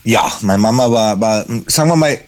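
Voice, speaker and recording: A man's voice: on the low side, moderate pace. A middle-aged man, chatting on the phone in a casual, hesitant way with many fillers and restarts. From Germany. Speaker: caller. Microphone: phone line.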